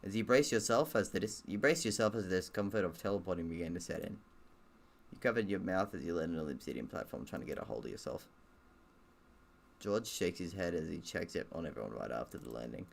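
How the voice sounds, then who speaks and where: A man's voice: low-pitched, lively intonation, quick pace, creaky. A man reading a game aloud in a casual let's-play style, breaking off for self-deprecating, sweary asides.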